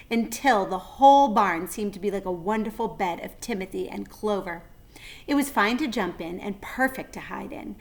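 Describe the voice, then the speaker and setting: A woman narrating a children's story with deliberate, expressive phrasing. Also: medium pitch. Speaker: read-aloud narrator.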